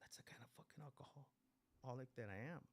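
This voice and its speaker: A man's voice: mid-range voice, a little fast, slightly rough. A man in his forties from Mexico, raised in East Los Angeles, speaking candidly and profanely as he gives personal testimony at a meeting. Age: 40s. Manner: blunt, profane, repeats phrases for emphasis. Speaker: guest speaker at a recovery meeting.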